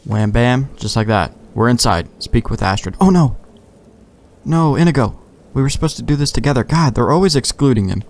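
A man's voice: medium pitch, animated, a little fast, soft background music. A man giving casual, wry let's play commentary, joking and swearing.

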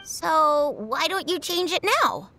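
Naive little boy, bright and slow. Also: high-pitched, expressive, a little hiss or hum, exaggerated voice. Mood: curious. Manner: childlike, hesitant. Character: naive, sulky little boy who likes puppies. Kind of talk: video game voice acting.

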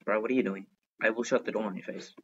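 A man's voice: fairly low pitch, fairly even intonation, quick pace, fairly bright tone, a little hiss or hum. Chatty male gamer on a dull mic. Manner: chatty, talking to himself, repeats phrases. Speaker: gaming video host. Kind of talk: excitable gameplay commentary. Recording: dull, lo-fi microphone.

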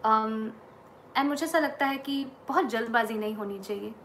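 A woman's voice: fairly high pitch, moderate pace, fairly bright tone, a little hiss or hum. A woman in her thirties with an Indian accent, a singer giving thoughtful, earnest advice on practice, talking casually at a webcam in a small room. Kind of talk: casual talk mixing Hindi and English. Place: small indoor room against a plain wall.

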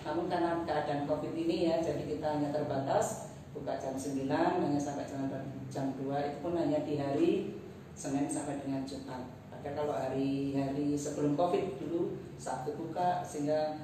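A woman's voice: deep voice, steady tone, a little fast, distant mic. Chatty woman interviewer in echoey lobby. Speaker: library staff interviewer. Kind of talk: casual interview. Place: seated in a library lobby, indoors. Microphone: far camera. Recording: echoey room with room noise.

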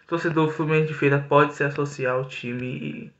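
A man's voice: on the high side, steady tone, moderate pace, a bit bright. A young man giving an earnest solo football analysis to camera, with frequent hesitations and restarts. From Brazil. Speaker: football commentator. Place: small indoor room. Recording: echoey room, slightly dull audio.

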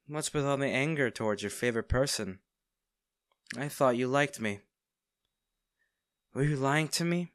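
A man acting as a smitten villain in an intimate audio roleplay, speaking directly to the listener with theatrical pauses. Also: mid-range voice, dry recording, studio quality, natural voice. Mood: teasing, smug. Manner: teasing, theatrical, pausing, addressing the listener intimately. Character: male villain, teasing, smitten captor of a hero.

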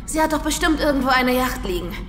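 An actress playing the hero's agitated, sharp-tongued female companion in an audio drama. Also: high voice, expressive, fast talker, a little hiss or hum. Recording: echoey hall, long reverb. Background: loud dramatic music.

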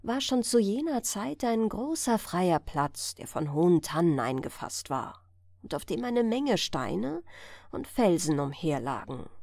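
Slow, expressive woman storyteller. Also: mid-range voice, dry recording, clean studio sound. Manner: measured, storytelling delivery. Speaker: audiobook narrator. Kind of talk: scripted fairy-tale narration, bedtime storytelling.

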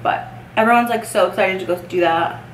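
A woman's voice: medium pitch, brisk. A young woman in her twenties rambling casually while doing her makeup for a vlog in her bedroom, filling her talk with "like". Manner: rambling, filler-heavy, upbeat chatter while doing makeup. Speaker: vlogger. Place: bedroom, indoors. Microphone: camera mic at desk distance. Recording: echoey room with faint noise.

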